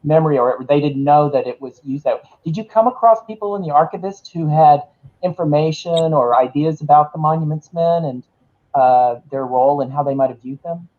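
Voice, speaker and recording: A man's voice: on the high side, brisk. Guy interviewing an author, lots of uh and um, muffled lo-fi audio. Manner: hesitant, many fillers. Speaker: interviewer at a book launch. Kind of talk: interview questions. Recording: dull lo-fi sound.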